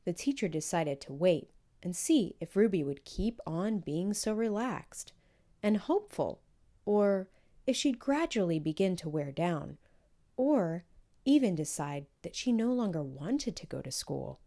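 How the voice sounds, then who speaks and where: A woman reading a children's book aloud in measured, clearly phrased storytelling narration. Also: fairly low pitch, very animated, mellow. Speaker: storybook reader.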